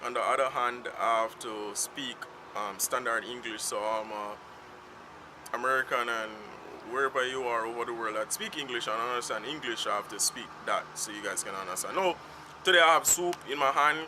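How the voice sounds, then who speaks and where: A man's voice: medium pitch, moderate pace. A man with a Jamaican accent, whose first language is Patois, chatting unscripted to camera outdoors in a rambling, free-flowing way. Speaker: vlogger. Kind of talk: casual talk to camera. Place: outdoors in town, in the sun. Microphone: self-filmed camera. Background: faint street traffic.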